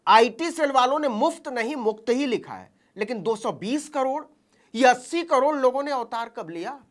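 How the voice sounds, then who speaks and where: A woman's voice: sits high, animated, normal speed. A woman delivering satirical political commentary as a news commentator, with a mocking, theatrical delivery.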